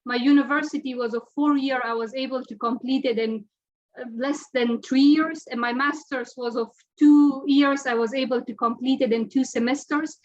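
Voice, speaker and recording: Young woman from afghanistan on a panel, fairly high voice, muffled dull audio, stumbles and restarts a lot. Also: steady tone, moderate pace, a little mellow. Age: 20s. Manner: earnest, with frequent restarts and stammers. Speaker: panelist, former deputy health minister. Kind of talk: panel discussion answers.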